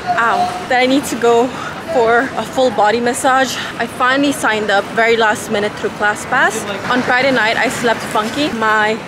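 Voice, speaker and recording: A woman's voice: a little high, fairly even intonation, moderate pace, background noise. Candid woman vlogging in her thirties. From Nepal. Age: 30s. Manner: candid, chatty, reflective. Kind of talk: casual vlog narration. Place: outdoors on city streets.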